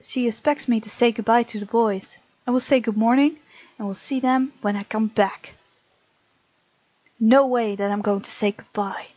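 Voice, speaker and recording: A woman's voice: a little high, moderate pace, darkish tone, no echo. A woman hosting a let's play, reading game text aloud and chatting casually as she reacts to the story. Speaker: gaming video host. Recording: muffled lo-fi mic over game music. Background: game music.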